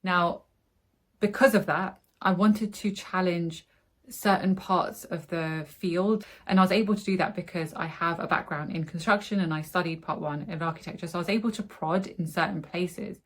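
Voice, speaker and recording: A woman hosting a podcast, talking in an eager, earnest and encouraging way. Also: lowish voice. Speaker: podcast host. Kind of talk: casual interview and spoken intro.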